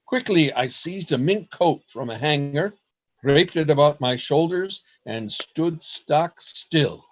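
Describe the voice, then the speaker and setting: A man playing an anxious writer narrating his secret diary in a radio play, in a deliberate storytelling manner. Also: on the high side, expressive, slight background noise. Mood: hushed dread.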